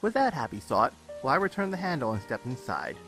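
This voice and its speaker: Animated man narrating a story. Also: medium pitch, brisk. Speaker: audiobook-style reader of fan fiction. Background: background music.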